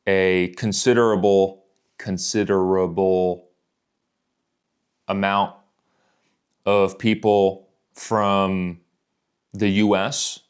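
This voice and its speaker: A male English teacher talks at a strikingly unhurried pace, spacing out each phrase for learners. His voice sits fairly low, and he often repeats words and phrases so listeners can catch them. The delivery is calm and patient throughout. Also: smooth. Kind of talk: slow English listening lesson.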